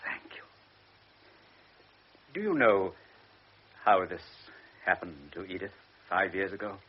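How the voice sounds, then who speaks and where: Older man, hesitant doting old baron, low voice, muffled old radio sound. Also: animated, breathy edge, heavily acted. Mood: anxious, pleading. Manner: hesitant, halting, apologetic. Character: older wealthy baron, anxious doting father. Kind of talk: classic radio drama acting. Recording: dull old radio recording with faint hiss.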